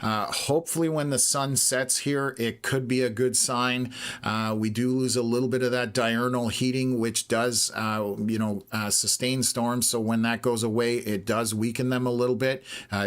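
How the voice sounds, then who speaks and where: A hoarse Canadian man hosting a live weather stream, talking through radar scans in a steady, measured way with lots of filler "uh"s. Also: medium pitch. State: hoarse, losing his voice. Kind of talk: live storm-tracking commentary.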